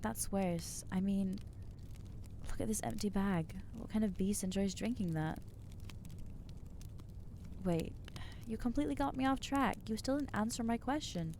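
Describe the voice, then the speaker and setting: A woman voicing an anxious, guilt-ridden new vampire in an ASMR roleplay, halting and self-doubting, with wry exasperation. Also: mid-range voice, a little mellow, slight background noise, lightly acted. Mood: anxious, guilty. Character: anxious newly turned female vampire, guilty and overwhelmed. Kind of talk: ASMR roleplay acting to the listener.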